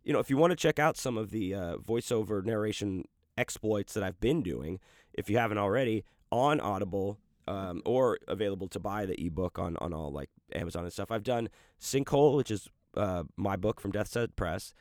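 A man's voice: lowish voice, lively intonation, a little fast, darkish tone, dry recording, clean studio sound. A man hosting a podcast in loose, rambling casual chat, profane and full of restarts, talking about his audiobook narration work.

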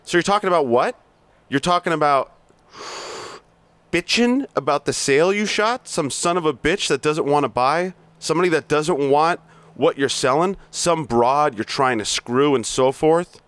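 A man's voice: fairly high pitch, normal speed, a bit bright, smooth, no room sound, voice pushed up, lightly acted. A man reading aloud as a brash, abusive male sales boss, berating his staff and swearing, at times stumbling over the script. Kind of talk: amateur reading of a scene.

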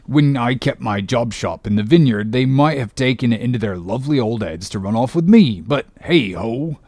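A fussy, grumbling old ship's carpenter played by a male reader mutters his complaints half to himself. The voice sits at an ordinary middle pitch for a man. The recording is dry and close-miked. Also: animated, moderate pace, darkish tone, no room sound.

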